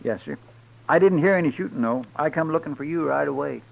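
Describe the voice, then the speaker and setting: An actor playing a simple, loyal, anxious deputy sidekick in a 1950s radio western, folksy and deferential. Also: male voice, on the high side, brisk, gravelly. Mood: earnest. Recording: muffled noisy old radio recording.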